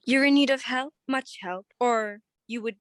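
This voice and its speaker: Woman acting an imperious, high-voiced fortune-teller. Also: normal speed, no room sound, studio quality, close to an everyday voice. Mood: imperious, ominous. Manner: commanding, portentous, formal. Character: imperious, mysterious fortune-teller madam, coldly ominous. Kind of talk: 1940s detective radio play acting.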